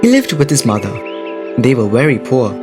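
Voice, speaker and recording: A man's voice: mid-range voice, very animated, moderate pace. A man narrating a scripted children's story as a storyteller. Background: music.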